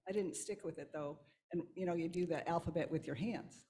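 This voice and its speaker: A woman's voice: lowish voice, fairly quick, mellow. A woman participant making casual remarks, picked up faintly away from the microphone. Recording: faint voice under clear background noise.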